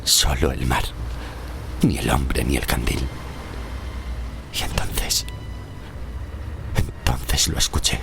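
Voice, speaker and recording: An actor from Spain playing a frightened shipwrecked sailor of centuries past, telling his horror tale gravely and haltingly in an audio drama. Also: rumbling low, fairly even intonation, noisy background, exaggerated voice. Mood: tense, fearful. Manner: grave, halting, suspenseful delivery. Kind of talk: dramatic first-person horror audio-fiction narration.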